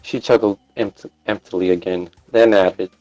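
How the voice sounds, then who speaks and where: Low-voiced man narrating a story. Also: steady tone, normal speed, a little mellow, no room sound, faint music behind, rough recording. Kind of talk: scripted narration of fan fiction.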